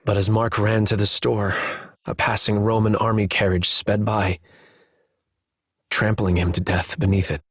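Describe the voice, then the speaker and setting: An actor playing a somber, haunted young man in a video game cutscene, speaking in an earnest, subdued and measured way. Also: lowish voice, fairly bright tone, a little hiss or hum.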